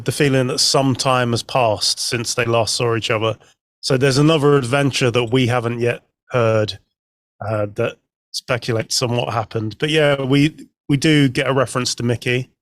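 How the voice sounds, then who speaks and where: A man's voice: medium pitch, no echo. A young man chatting casually as a podcast panelist, sharing thoughts and small anecdotes.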